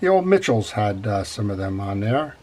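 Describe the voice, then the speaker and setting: An older man with a head cold, congested, hosting a relaxed, rambling how-to on servicing a fishing reel at his workbench. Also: on the low side, moderate pace. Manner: relaxed, rambling with frequent fillers. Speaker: fishing reel repair channel host. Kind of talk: casual how-to narration. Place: workshop bench.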